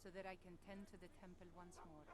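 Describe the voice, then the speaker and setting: An actress playing a devout temple priestess and healer in a fantasy video game, measured, earnest and gently grave. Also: female voice, fairly low pitch, steady tone, crowd noise, lightly acted. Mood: solemn, earnest.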